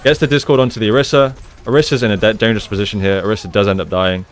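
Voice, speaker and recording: Clear, low-voiced man analysing gameplay. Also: fairly even intonation, rapid-fire, faint music behind. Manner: explanatory, conversational, often checks with "right?". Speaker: gaming coach, analyst. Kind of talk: video game gameplay analysis commentary.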